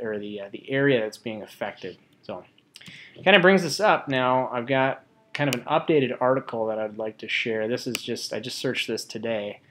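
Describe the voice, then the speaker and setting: Male presenter, mid-pitched voice, informal and colloquial, halting delivery as he talks through slides.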